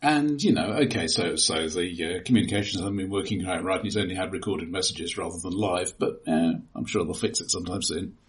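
A man's voice: low voice, a little fast, few pauses. A man co-hosting a film podcast in casual conversation, with a dry, wry way of talking. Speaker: podcast co-host.

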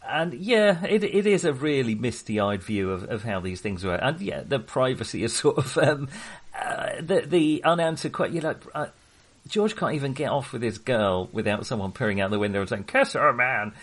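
A man co-hosting a casual film discussion, speaking hesitantly and often halting mid-thought to start again. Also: mid-range voice, expressive. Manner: hesitant, halting, trailing into half-finished thoughts. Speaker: co-host of a film discussion show.

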